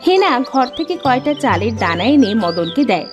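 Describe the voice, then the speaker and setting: A female storyteller narrates a children's cartoon tale in a high voice. Her delivery is very lively in pitch, with music behind it. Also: normal speed.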